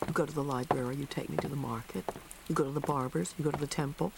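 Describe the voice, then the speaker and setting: An actress playing an anxious, pleading middle-aged wife in a plaintive, emotional radio drama performance. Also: female voice, low voice, steady tone, quick pace, gravelly. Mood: worried, indignant. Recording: heavy background noise.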